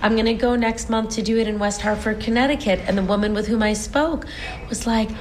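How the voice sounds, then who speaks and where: Earnest, high-voiced woman telling her story. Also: a little fast, a little hiss or hum. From United States. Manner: earnest, animated storytelling with many restarts. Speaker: guest, stage performer. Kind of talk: casual interview chat.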